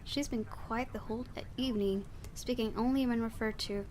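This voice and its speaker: A woman reading a visual novel game aloud in an expressive storytelling style, with her own asides. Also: on the high side, fairly quick, a little hiss or hum.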